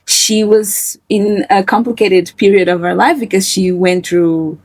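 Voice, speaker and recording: A woman in her twenties who grew up in Portugal, speaking softly and reflectively as a guest in a candid interview. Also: medium pitch, fairly even intonation, a little slow, no echo. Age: 20s. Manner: soft-spoken, reflective, with frequent fillers.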